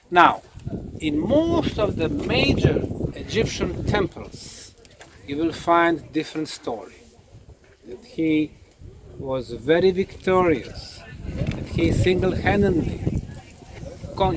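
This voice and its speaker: A man, a native Bosnian speaker, giving an informal history lecture as a tour guide, in emphatic, halting short phrases. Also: fairly high pitch, noisy background.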